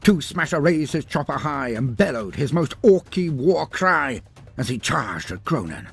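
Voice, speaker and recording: Male narrator, husky voice, sweeping expressive pitch, grandiose dramatic storytelling, faint music behind. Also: a little slow. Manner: theatrical, grandiose delivery.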